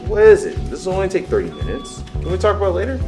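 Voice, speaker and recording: A man's voice: high voice, wildly swooping pitch, very fast. A man playing a weary, sarcastic young secret superhero, exasperated and dry, in an audio drama. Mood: impatient. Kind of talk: audio drama acting with first-person narration. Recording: music under the voice.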